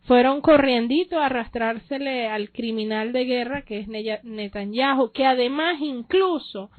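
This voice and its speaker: A woman's voice: on the high side, unhurried, dry recording. A woman hosting a political debate show, speaking in a pointed, emphatic way. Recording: dry tinny phone-like sound.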